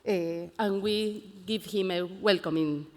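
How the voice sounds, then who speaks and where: Conference host, woman, mid-pitched, measured formal intro, long hall reverb. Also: a little mellow.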